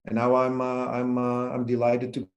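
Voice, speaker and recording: A man in his fifties with an Israeli accent, a guest speaking from home on a video call, halting with many fillers and restarts. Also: medium pitch, monotone, reverberant, lo-fi sound. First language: Hebrew. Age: 50s. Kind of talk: remote interview talk.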